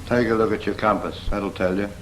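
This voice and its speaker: A man playing a patient, brisk Royal Navy instructor in a 1940s British training film, firm and fond of prompting with questions. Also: lowish voice, fairly even intonation, a bit bright, close to an everyday voice. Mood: instructive. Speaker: naval steering instructor. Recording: noisy 1940s film soundtrack.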